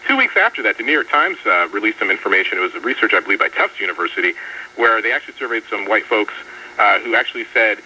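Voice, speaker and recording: Radio guest guy, lowish voice, rattles it off fast, sounds far from the mic. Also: expressive, pushed and bright. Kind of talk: political talk radio discussion. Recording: distant radio broadcast.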